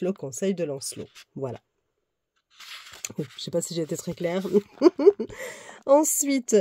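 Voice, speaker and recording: A woman's voice: fairly low pitch, dark tone, dry recording, studio quality. A woman with a head cold, speaking slightly through her nose, chatting casually as she reviews a tarot deck and reads passages aloud, with fillers and self-corrections. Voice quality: slightly nasal. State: congested, has a cold.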